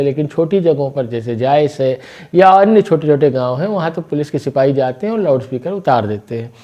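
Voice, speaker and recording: A man's voice: a little high, mellow, muffled recording. A man presenting local news commentary, explaining things point by point in a conversational way.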